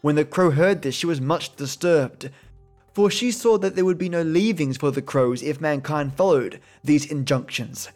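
A man reading an old folk tale aloud in a calm, steady storytelling voice. His pitch sits a little high. Faint music plays underneath. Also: normal speed. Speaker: podcast host reading folk tales. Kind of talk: storytelling narration.

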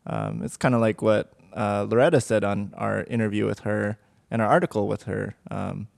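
Low gravelly man chatting casually. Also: moderate pace, dry recording. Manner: relaxed, laughs often, gives study advice. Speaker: podcast co-host. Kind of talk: casual chat.

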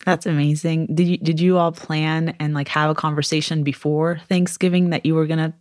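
A host leads a casual interview, asking curious questions and encouraging the guest with short replies. The voice sits at a middling pitch and is recorded close and dry, with almost no room sound. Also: little pitch movement, a little fast, a little mellow, no echo. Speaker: podcast host.

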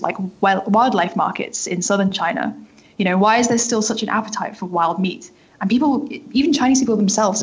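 A husky-voiced woman gives explanations as a journalist guest. Her phrasing is loose, full of hedges and fillers, at a medium pitch. The recording sounds dull and lo-fi. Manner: hedging, filler-heavy explanations. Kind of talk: interview explanation. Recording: dull, lo-fi audio.

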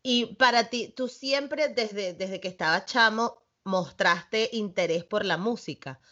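Woman, chatty podcast host, mid-pitched voice, lo-fi sound. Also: pushed and bright. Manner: chatty, with quick affirmations. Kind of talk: conversational interview. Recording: dull lo-fi audio.

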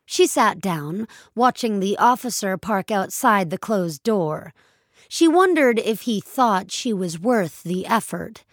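A woman's voice: medium pitch, expressive, takes it slowly, no echo. A woman reading scripted dramatic fiction as a narrator, recorded close on a studio mic.

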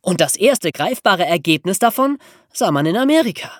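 A woman explaining things as a podcast host in a lively educational storytelling style. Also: mid-range voice, moderate pace, no echo, clean studio sound.

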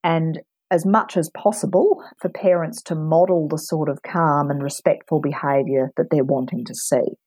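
Woman, low husky voice, dry close sound, steady and explanatory, for an advice interview. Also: dry recording. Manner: measured, explanatory, frequent ums. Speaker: anti-bullying expert guest. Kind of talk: calm advisory interview answers.

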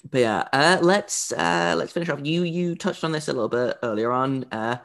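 A man hosting a podcast and chatting casually about goaltending, relaxed and conversational. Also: fairly high pitch, moderate pace, creaky. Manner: relaxed, conversational, with some filler words. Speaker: podcast host, goalie. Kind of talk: casual hockey chat.

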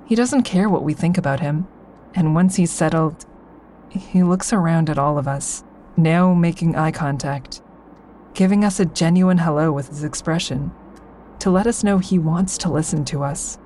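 An actress playing an observant, guarded woman, narrating in the first person for an audio drama in a measured way. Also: low voice, dark tone, a little hiss or hum, natural voice. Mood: watchful, reflective.

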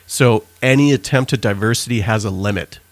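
Low-voiced man in dry sound. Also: brisk, no room sound. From Trinidad. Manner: earnest, reflective, speaks in long explanations. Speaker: author and pastor, guest. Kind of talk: interview conversation.

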